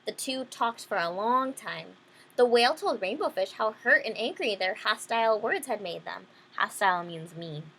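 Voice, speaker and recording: A woman's voice: mid-range voice, animated, moderate pace, creaky, fairly bright tone, husky, poor audio. A woman reading a children's storybook aloud, adding simple explanations for young listeners. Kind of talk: children's picture-book reading with simple asides.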